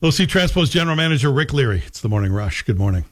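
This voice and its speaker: Male morning radio interviewer, Canadian accent, average pitch, conversational. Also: sing-song, racing. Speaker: morning radio show host.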